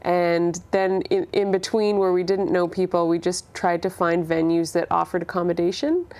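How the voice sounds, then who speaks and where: A young adult woman with a Canadian accent speaks in a fairly low voice as an interview guest. She chats informally, feeling her way through her thoughts as she goes. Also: flat delivery, normal speed, a little mellow. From Canada, born in Ireland. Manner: informal, thinking aloud. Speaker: interview guest, singer-songwriter. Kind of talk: casual chat.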